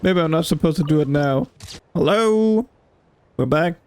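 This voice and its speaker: Guy streaming a game, slightly high voice, thinking out loud, halting and kinda puzzled. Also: lively intonation, a little hiss or hum. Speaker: gamer streamer. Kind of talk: casual gameplay commentary.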